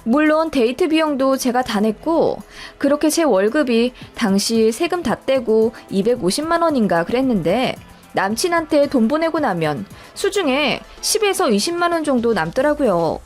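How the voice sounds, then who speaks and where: A woman's voice: high voice, big pitch swings, normal speed. A woman reading a scripted first-person personal story as a narrator, in a storytelling style. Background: background music.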